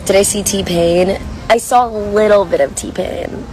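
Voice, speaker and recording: A young woman with a hoarse, worn voice chats casually while reading viewer comments on a livestream from a coffee shop. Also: mid-range voice, fairly even intonation, unhurried, darkish tone, a little hiss or hum, poor audio. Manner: chatty, rambling, reads comments aloud. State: hoarse, lost voice. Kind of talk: casual chat.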